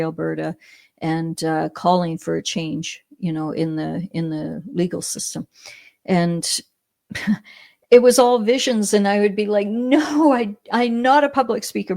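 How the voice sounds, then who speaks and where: A middle-aged Canadian woman telling her story as an interview guest, animated, often laughing, with many restarts. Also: mid-range voice, creaky, no room sound, clean studio sound. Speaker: podcast guest.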